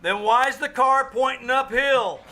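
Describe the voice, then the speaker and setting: A man's voice: very high voice, unhurried. A man acting as a flippant, wisecracking sidekick in an old-time radio comedy. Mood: wry, quipping. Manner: flippant, quipping. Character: wisecracking, unhelpful male sidekick. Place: small-town theater stage, live performance. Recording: noisy live theater stage recording.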